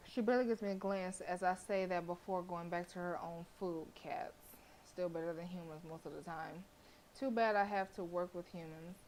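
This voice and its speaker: A woman streamer reading a visual novel aloud as expressive live narration. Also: fairly low pitch, normal speed, slight background noise, rough recording.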